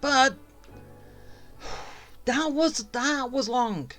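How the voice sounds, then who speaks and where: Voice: high-pitched, very slow, a bit bright, soft background music. A game streamer giving casual, rambling and grumbling commentary while playing. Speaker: gaming streamer.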